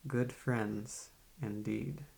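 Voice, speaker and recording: A man playing a calm, formal, probing psychiatrist in a soft-spoken ASMR roleplay, with a creaky, husky voice and a measured, polite manner. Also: mid-range voice, heavily acted. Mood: calm, courteous. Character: calm, formal, probing male psychiatrist interviewing a young patient.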